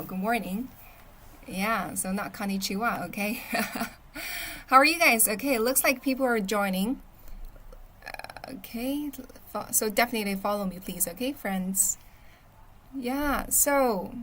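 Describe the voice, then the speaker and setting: A woman's voice: medium pitch, a bit bright, slight background noise. A young woman from Shanghai whose first language is Chinese, hosting a casual livestream, chatting and answering viewer comments. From Shanghai, China. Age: young adult. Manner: chatty, reads and answers viewer comments, often asks "okay?". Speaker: livestream host. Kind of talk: casual live-stream chat with viewers.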